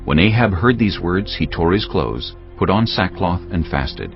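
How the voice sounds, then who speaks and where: A man with a gravelly, husky voice giving dramatic scripted narration of scripture. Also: low-pitched, big pitch swings, unhurried, dull audio, over music.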